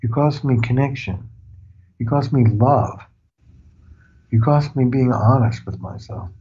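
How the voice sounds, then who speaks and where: A man's voice: medium pitch, a little slow, dark tone, husky. Earnest older male therapist, muffled audio. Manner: earnest, explanatory, uses analogies. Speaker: family therapist guest. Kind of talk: reflective interview talk.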